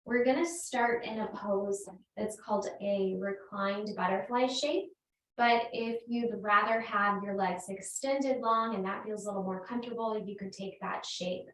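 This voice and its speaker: A woman yoga teacher guiding a restorative class in a gentle, unhurried, invitational way. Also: mid-range voice. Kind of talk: slow guided restorative yoga instruction. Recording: roomy echo, distant microphone.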